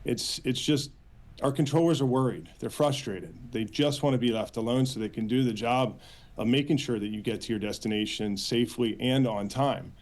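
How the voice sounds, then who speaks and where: A man with an American accent answering as a union spokesman in a news interview, earnest, with halting phrasing and repeated words. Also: mid-range voice, a little mellow. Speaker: union official, interview guest.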